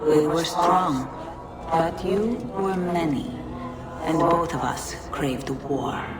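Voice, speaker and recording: An actress voicing a solemn ancient female being who speaks slowly and gravely, as in a game cutscene. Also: low voice. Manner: slow, solemn, measured. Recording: echoey and distant, loud music.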